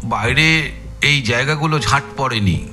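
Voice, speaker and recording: An actor playing a shrewd, courteous male investigator in an audio story, questioning in a measured, probing way with thoughtful pauses. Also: medium pitch, bright, forceful tone, soft background music. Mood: probing, casual. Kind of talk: audio story dramatised dialogue.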